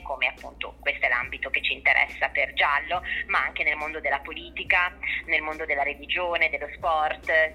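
Female author for a radio interview. Slightly low pitch, very sharp bright tone, thoughtful and articulate, thinking aloud. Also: husky, low-quality recording. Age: adult. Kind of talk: reflective interview answers.

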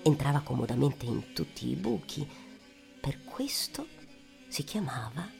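Female storyteller, radio-play fairy tale, very lively swooping pitch, dramatic pauses, gravelly edge, music behind. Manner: dramatic, drawn-out phrasing with swoops and whispers.

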